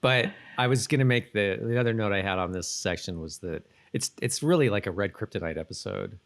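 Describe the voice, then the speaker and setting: Low-voiced man, casual podcast chat. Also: brisk. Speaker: podcast co-host. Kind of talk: casual chat recapping a TV episode.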